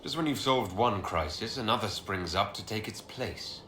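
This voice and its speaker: Game protagonist: stern, blunt commander, lowish sharp voice, echo. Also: male voice, moderate pace, slight background noise. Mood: weary, regretful. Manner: blunt, questioning, serious. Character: stern, weary commanding hero, blunt leader. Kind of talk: dramatic video game dialogue. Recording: roomy echo, music underneath.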